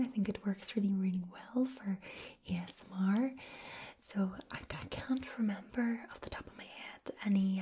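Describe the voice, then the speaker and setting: A woman putting on a soft, sing-song Belfast accent in a gentle, hushed ASMR whisper. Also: mid-range voice, slightly rough. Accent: soft Belfast Northern Irish.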